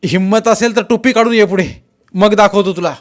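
A man voicing an angry, authoritative district official in an audiobook, shouting firmly and defiantly. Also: high-pitched, brisk, pitched above the performer's own voice, lightly acted. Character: indignant, authoritative male district collector standing his ground.

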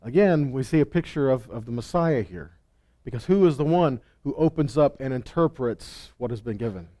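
A man's voice: mid-range voice, animated, normal speed, dark tone. A man in his thirties teaching a Bible lesson on stage with a headset mic, talking in a casual way, asking questions and acting out quoted lines. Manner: conversational, asks the room questions, acts out quotes. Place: stage with purple lights.

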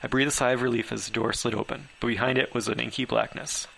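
A man's voice: mid-range voice, steady tone, fast, vocal fry, a bit bright, poor audio. A man reading his own story as an amateur narrator, stumbling over words now and then.

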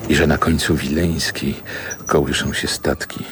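An older male actor playing a reflective poet recalling his youth in a radio drama, speaking in a measured literary manner. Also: a bass voice, steady tone, a bit bright, a little hiss or hum. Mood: calm, wistful. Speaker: narrator and protagonist.

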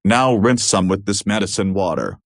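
A man voices a well-meaning, bumbling dog hero for a homemade cartoon. The voice is fairly low and sounds earnest and reassuring, recorded dry with no room sound. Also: lively intonation, moderate pace, dry recording. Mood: helpful. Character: helpful, slightly bumbling cartoon dog superhero.

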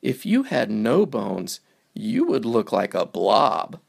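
A man reads a children's picture book aloud in a very creaky, gravelly voice. His pitch swings in a lively way as he moves through the simple lines, and he takes his time over each phrase. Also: slow pace.

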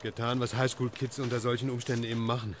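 A man's voice: medium pitch, flat delivery, quick pace, slight background noise, natural voice. A man acting a weary, sceptical middle-aged family man in a German radio drama. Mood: reflective.

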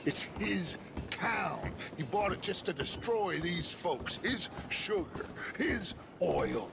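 An actor playing a charismatic outlaw gang leader who talks in a persuasive, paternal way. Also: male voice, sits high, sing-song, slow, gravelly, background noise, full character voice. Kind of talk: video game cutscene acting.

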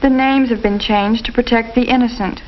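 A woman reading the scripted narration of a 1950s educational film in a measured, storytelling manner. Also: on the high side, fairly even intonation. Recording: muffled old film soundtrack with hiss.

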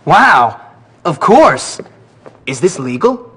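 A blunt teenage tough guy in a video game. His voice is husky and on the high side, moving in lively swings. He is terse, defiant and sarcastic. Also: takes it slowly, exaggerated voice. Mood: awkward. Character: blunt, defiant fifteen-year-old schoolboy tough guy. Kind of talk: video game cutscene acting.

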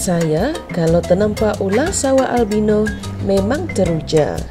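Slow-paced Malaysian woman narrator over music. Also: medium pitch, animated. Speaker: vlogger and narrator. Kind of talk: voice-over narration of a wildlife park visit for children.

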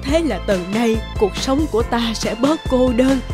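A woman playing a gentle, doting old woman in a children's fairy-tale cartoon, speaking with tender wonder. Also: on the high side, a little slow, over music, close to an everyday voice.

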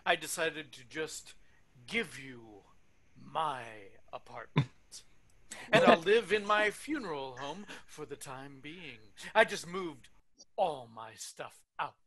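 Needy, lovesick oddball man played by an actor, comedy table read; very slow, halting, animated, big emphasis. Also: medium pitch, crowd noise. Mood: eager, proud. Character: eager, clingy, lovesick funeral-home owner man.

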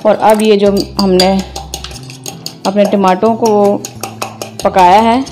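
A woman hosting a home cooking video, calmly explaining each step as she cooks in her kitchen. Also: mid-range voice, takes it slowly, noisy background. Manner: calm, methodical, names each step as she works. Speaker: cooking channel host.

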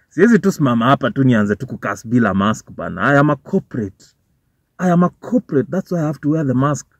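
A man's voice: medium pitch, no room sound. A young Kenyan man with a Kenyan accent giving casual, profane commentary to camera from a parked car, mixing English with Swahili slang. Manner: informal, swearing, switching between English and Swahili slang. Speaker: vlogger. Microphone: phone or camera on the dashboard. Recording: dull, dry car recording.

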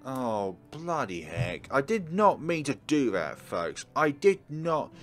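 A man's voice: medium pitch, big pitch swings, takes it slowly, a bit bright. A man hosting a let's play, reading visual novel narration aloud and adding casual commentary. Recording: game music behind the voice. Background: visual novel soundtrack.

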